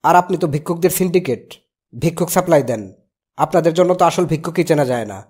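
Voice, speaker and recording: A man's voice: a little high, fast talker, a little mellow, husky. A man voicing a reluctant, exasperated young uncle in a cartoon, grumbling and reasoning, with a Bangladeshi accent.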